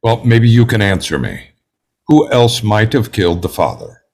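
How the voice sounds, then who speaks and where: A man's voice: fairly low pitch, huge pitch swings, moderate pace, vocal fry, darkish tone, full character voice. A man playing a logical, composed and stern juror, formal and precise, in an amateur table read.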